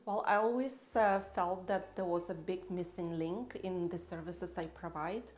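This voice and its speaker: A woman with a lower voice speaks in a measured, earnest manner about her work. Her delivery is steady and calm. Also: slight background noise. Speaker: aesthetic practitioner. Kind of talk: testimonial interview answers.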